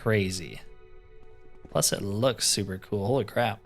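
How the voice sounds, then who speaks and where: A man's voice: on the low side, measured pace, gravelly, soft background music. A man giving casual, slangy, informal commentary while playing through a roleplaying game. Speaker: game streamer doing roleplay playthrough.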